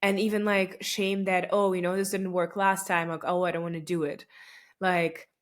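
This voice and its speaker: A woman's voice: lowish voice, flat delivery, normal speed. A young woman whose first language is Russian, talking through her thoughts on her own podcast in a loose, chatty way with many fillers, restarts and small laughs. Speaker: podcast host. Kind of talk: casual solo podcast reflection.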